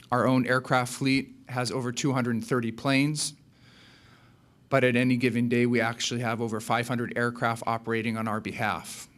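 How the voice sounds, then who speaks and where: A man from Hawaii presenting slides at a business seminar, with a polished, mostly scripted delivery and occasional fillers. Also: medium pitch. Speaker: account executive presenting.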